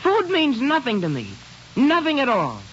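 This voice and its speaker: Eager, lively-voiced, dramatic teenage boy. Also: extremely high pitch, moderate pace, full character voice. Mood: exasperated. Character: earnest, poetry-struck sixteen-year-old boy, theatrical and impetuous. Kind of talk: old-time radio drama acting. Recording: hissy, dull vintage radio recording.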